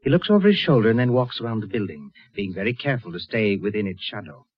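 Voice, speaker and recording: A man narrating a radio mystery as a measured storyteller, with deliberate, suspenseful phrasing. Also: lowish voice, animated, slightly rough. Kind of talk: scripted present-tense radio narration. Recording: dull lo-fi radio drama recording.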